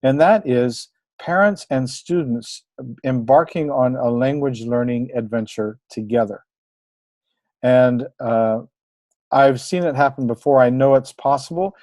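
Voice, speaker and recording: A man's voice: medium pitch, a little slow, a little mellow, no echo. Encouraging man teaching a webinar. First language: English. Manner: encouraging, conversational with fillers. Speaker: language teacher. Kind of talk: webinar presentation.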